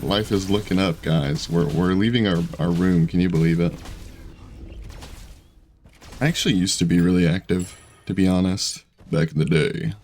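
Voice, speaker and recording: A man in his twenties giving casual, chatty gameplay commentary from his room, self-deprecating and quick to laugh. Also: sits low, steady tone, a little mellow, slight background noise. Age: 20s. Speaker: gaming streamer. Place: home room at a desk.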